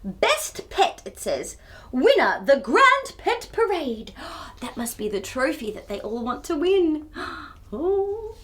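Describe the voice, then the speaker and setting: A woman hosting a children's storytime, reading a picture book aloud in an expressive, theatrical way and chatting to young viewers. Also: high voice, takes it slowly. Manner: expressive, theatrical, with gasps and exclamations.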